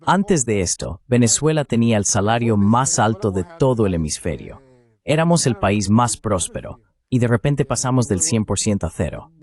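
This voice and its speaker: A man's voice: fairly low pitch, very animated, moderate pace, darkish tone. A man giving a translated voice-over of an interview guest's political analysis.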